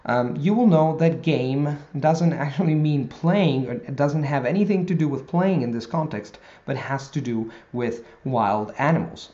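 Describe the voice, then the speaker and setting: A man's voice: a little high, dark tone, highs cut off. Man teaching vocabulary tips. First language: Italian. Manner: talks straight to viewers, measured explaining with pauses. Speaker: language teacher. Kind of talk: video lesson to camera.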